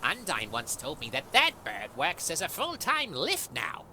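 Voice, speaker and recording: A woman's voice: low-pitched, huge pitch swings, measured pace, pushed and bright, slight background noise, heavily acted. A woman playing an upbeat, self-assured, theatrical character in an audio drama with showy, emphatic delivery.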